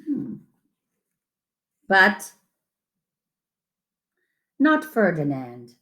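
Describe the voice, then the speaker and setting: A woman's voice: lowish voice, very animated, extremely slow, fairly bright tone. A woman reading a picture book aloud to children as a storyteller, with dramatic emphasis and asides to her listeners.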